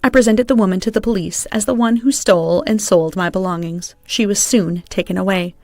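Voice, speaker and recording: A woman narrates a scripted story in the first person. Her voice sits at a middle pitch, and the sound is dry, with no room around it. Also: brisk, darkish tone, no echo.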